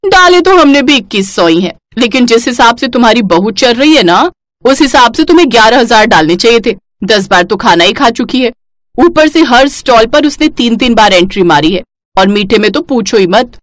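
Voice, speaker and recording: A woman's voice: fairly high pitch, lively intonation, normal speed, no room sound, close to an everyday voice. A woman voicing a gossipy, taunting neighbourhood woman in a cartoon story, with exaggerated delivery. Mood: mocking. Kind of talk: cartoon family story acting.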